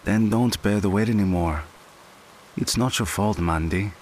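An actor playing a gentle male friend who soothes a grieving widow in a patient, comforting way. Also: fairly low pitch, a little slow, a little hiss or hum, natural voice. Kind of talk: dramatic dialogue.

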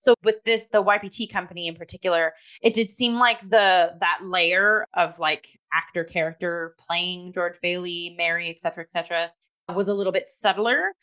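A woman's voice: on the low side, fairly even intonation, normal speed, a bit bright. Chatty woman in informal review conversation. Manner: chatty, informal, often saying "like". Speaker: co-host reviewing theatre on a talk show.

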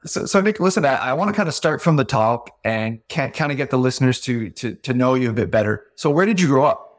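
Brief: mid-pitched male podcast host, chatty, stumbles and repeats words. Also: animated, fast. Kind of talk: casual interview.

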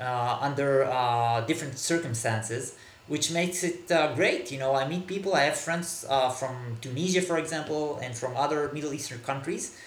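A man from Israel, a native Hebrew speaker, answering interview questions in a halting way with many fillers and repeated words. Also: on the high side, reverberant, a little hiss or hum. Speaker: podcast guest, author. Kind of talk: casual interview talk. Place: Berlin.

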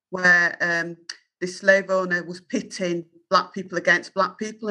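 Firm, bright-voiced Yorkshire woman. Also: on the low side, poor audio. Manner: earnest, firm and self-assured. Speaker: journalist and guest. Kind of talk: candid interview answers.

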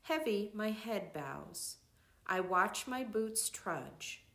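An older woman narrates a literary piece at a slow, careful pace. Her pitch rises and falls freely with expressive phrasing. The recording is roomy and echoing, like a home reading. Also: creaky. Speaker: reader of a nature devotional book. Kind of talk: scripted narration, literary read-aloud.

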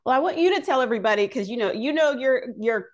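A woman's voice: fairly high pitch, lively intonation, fast talker. A woman co-hosting a podcast, introducing guests in a chatty, informal way and laughing easily. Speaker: podcast co-host.

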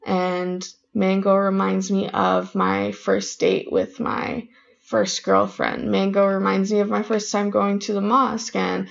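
A woman from California sharing personal memories in a measured, nostalgic way, on a muffled recording. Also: mid-range voice, creaky. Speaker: interviewee. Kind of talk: personal reminiscence.

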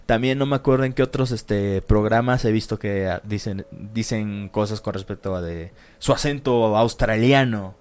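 A man's voice: on the low side, moderate pace, few pauses, a little mellow, clean tone. Slangy man in casual chat. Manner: slangy and swearing, with many fillers.

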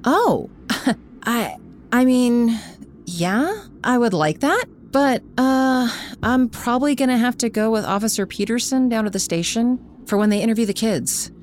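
An actress playing a shy, friendly woman in an audio drama, answering an old friend in a halting, natural way. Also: fairly high pitch, expressive, slight background noise. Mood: shy, flattered. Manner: hesitant, halting.

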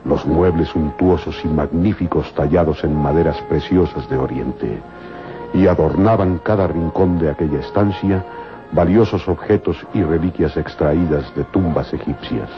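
A man's voice: very deep voice, moderate pace. A man narrating a scripted adventure serial in a dramatic, old-time radio style. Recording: muffled old radio recording. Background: music.

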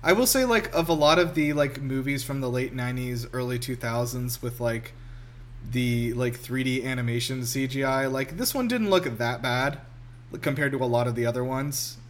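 A man's voice: medium pitch, normal speed, slight background noise. A man talking casually and informally as a podcast co-host, giving film commentary. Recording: music behind the voice.

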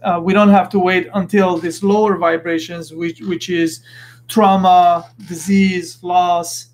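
A man's voice: high voice, little pitch movement, a little slow, a little mellow. A man from Lebanon hosting a podcast, talking earnestly in a casual motivational monologue and often restarting as he searches for words. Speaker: podcast host.

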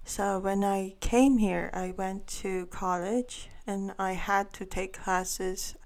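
Slow, gravelly woman from Iran. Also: medium pitch, fairly even intonation, darkish tone. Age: 30s. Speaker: guest artist. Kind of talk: interview answers.

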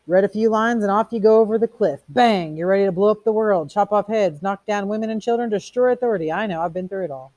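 A reader putting on a smug, taunting fire captain, pitched up. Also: fast talker, hardly any pauses, darkish tone, no room sound, muffled recording, natural voice. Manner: theatrical, declaiming quotations. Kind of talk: audiobook character reading.

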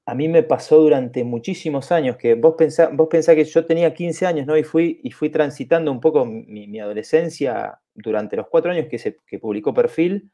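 A man's voice: fairly high pitch, fairly quick, few pauses, warm tone, highs cut off. A man, chatting casually on a podcast in a rambling way, with lots of restarts and repeats. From southern Chile. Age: 40s. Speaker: podcast co-host.

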